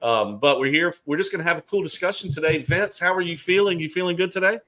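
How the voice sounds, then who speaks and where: A man's voice: sits high, fast talker, bright, forceful tone. A middle-aged man from Alabama hosting a casual talk, chatty and joking, with many fillers. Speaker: host. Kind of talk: casual chat.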